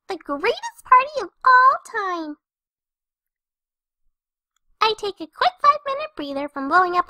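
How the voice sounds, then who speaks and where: A hyperactive young female cartoon pony, extremely high and very animated. She chatters cheerfully and breezily to the listener, scatterbrained and party-loving, in a dry, close recording. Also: no echo, heavily acted. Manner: bubbly, chatty, breezy narrating straight to the listener. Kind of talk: fan fiction audio reading in cartoon character.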